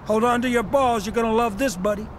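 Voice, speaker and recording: An actor voicing a gleeful, boisterous, excited criminal in a video game. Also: male voice, very high-pitched, fast, a little hiss or hum. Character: gleeful, manic male demolition crook. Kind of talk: cinematic video game acting.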